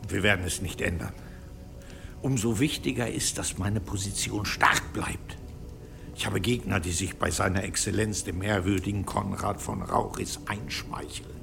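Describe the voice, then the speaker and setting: An actor playing a stern, impatient medieval bishop in an audio drama, commanding and reproachful in manner. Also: male voice, low-pitched, normal speed, gravelly. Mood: bitter. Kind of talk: German audio drama acting.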